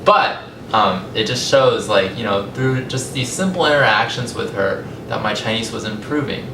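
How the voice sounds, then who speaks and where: A young adult man from California with an American accent gives a public talk, telling his personal story in a conversational, measured way with deliberate pauses. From California, United States. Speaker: language company founder giving a talk. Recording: echoey, distant mic, rumbling noisy room.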